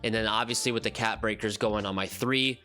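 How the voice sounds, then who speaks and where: Male gaming host with a head cold. Low, bright, slightly nasal voice. Loose, rambling commentary, soft music underneath. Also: brisk. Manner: relaxed, rambling, frequent ums and restarts. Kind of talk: casual game commentary walkthrough.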